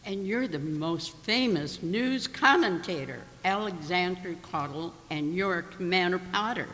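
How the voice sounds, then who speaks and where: A man playing a proper carrot wife and mother in a comic radio play, polite and measured. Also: high voice, lively intonation, bright, forceful tone, full character voice. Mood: gracious. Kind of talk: comic radio drama acting. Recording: echoey hall with long reverb.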